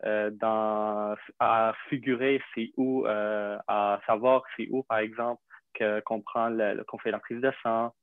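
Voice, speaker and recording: A young man from Syria, a native Arabic speaker, telling his story in an interview with many pauses, fillers and restarts. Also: fairly low pitch, flat delivery, clean tone, no echo. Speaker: interview guest. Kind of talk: hesitant conversational interview. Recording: dry tinny phone-like line.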